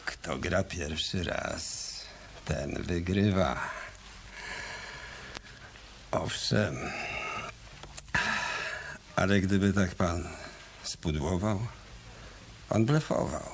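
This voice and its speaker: An actor playing a sardonic, cool male detective in a radio drama, talking in a dry, ironic, unhurried way. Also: sits low, gravelly, a little hiss or hum, full character voice. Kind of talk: 1990s radio drama acting.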